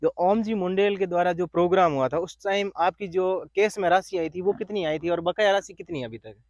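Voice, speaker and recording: A man's voice: high-pitched, brisk, darkish tone. A man puts informal questions as an interviewer. The sound is dry, with hardly any echo.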